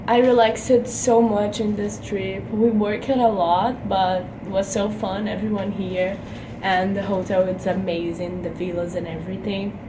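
A woman's voice: medium pitch, background noise. A young woman from Brazil with a Brazilian Portuguese accent, chatting casually to camera in a hotel room about her trip and filling her talk with "like". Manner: chatty, frequent "like" fillers. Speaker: vlogger. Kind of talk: casual travel vlog to camera. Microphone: camera at arm's length.

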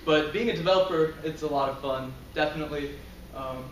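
A man's voice: a little high, a little fast, slight background noise. Young man presenting in an echoey classroom. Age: young adult. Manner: casual, filler-heavy, chuckles often. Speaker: student presenter. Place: lecture room, in front of a projector screen. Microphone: far camera. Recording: roomy, distant camera audio.

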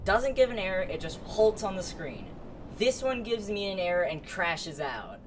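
A person giving casual gameplay commentary in a halting way with false starts, in a room at home. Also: mid-range voice, very animated, a little hiss or hum. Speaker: streamer demonstrating a game.

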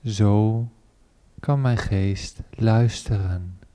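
A man leading a guided meditation as a teacher, in measured phrases with pauses. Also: fairly low pitch, dark tone. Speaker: meditation teacher, Buddhist monk.

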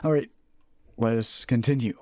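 A man's voice: medium pitch, normal speed, warm tone, no room sound, clean studio sound. A man narrating a horror visual novel as a let's play host, reading aloud and sometimes stumbling and rereading phrases. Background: game music.

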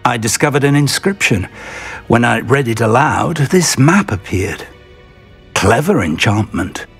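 An actor playing an elderly, cautious and kindly British wizard professor, speaking in measured, formal phrases in a video game. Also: male voice, medium pitch, lively intonation, gravelly, breathy edge, soft background music, full character voice. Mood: intrigued. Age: older. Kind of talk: video game cutscene acting.

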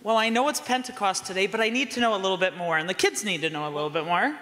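A woman with a loud voice leading a children's story, upbeat and encouraging, in a large church sanctuary. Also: medium pitch, rapid-fire, a crowd in the background. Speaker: children's ministry leader. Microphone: handheld mic. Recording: reverberant church hall.

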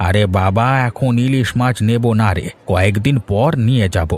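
A man's voice: on the low side, normal speed, a little mellow, some chatter behind, close to an everyday voice. A man voicing a kindly middle-aged family man in a cartoon fairy tale, plain-spoken, familiar and firm but obliging. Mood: insistent.

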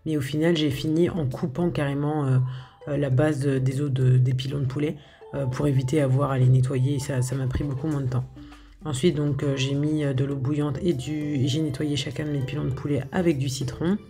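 Hesitant woman with a gravelly, mellow voice. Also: deep voice, fairly even intonation, a little fast, soft background music. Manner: hesitant, many filler sounds and restarts. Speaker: home cook showing her recipes. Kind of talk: casual voice-over for a cooking video.

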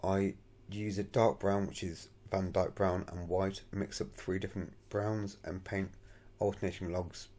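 A man's voice: low voice, little pitch movement, a little slow, warm tone. A man narrating a voice-over for his scale model painting tutorial in a plain, matter-of-fact way, thinking aloud as he goes.

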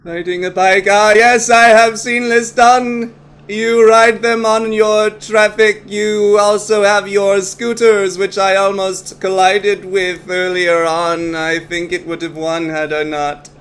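A man's voice: high-pitched, flat delivery, takes it slowly, a bit bright, clean tone. A man playing an eager, naive alien posing as a human in a comedy sketch, pompous and over-formal, often muddling his words. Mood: earnest. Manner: stiff over-formal wording, muddles words and corrects self. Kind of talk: comedy audio sketch acting.

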